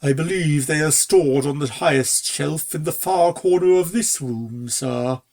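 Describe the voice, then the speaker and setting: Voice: mid-range voice, unhurried, a little mellow, lightly acted. A reader voicing a fussy, servile male pony butler in a storybook, formal, hesitant and deferential. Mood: awkward, deferential. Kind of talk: storybook character dialogue.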